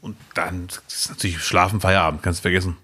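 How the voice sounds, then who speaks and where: A man's voice: low voice, level tone, fast talker, bright, forceful tone, breathy edge. A man co-hosting a podcast, telling childhood stories in casual chat and laughing often. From Bielefeld, Germany. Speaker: podcast co-host.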